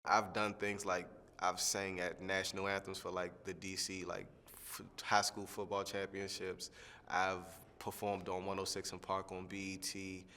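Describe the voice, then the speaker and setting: A young man, a singing contestant, answering interview questions in a relaxed, rambling way with lots of fillers. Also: sits low, moderate pace, big-room sound. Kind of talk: press junket soundbite interview.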